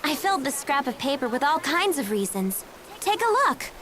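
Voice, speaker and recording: A woman playing a perky, eager and headstrong teenage girl adventurer in a video game, bubbly and expressive. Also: high-pitched, normal speed, slight background noise. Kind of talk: video game English dub acting.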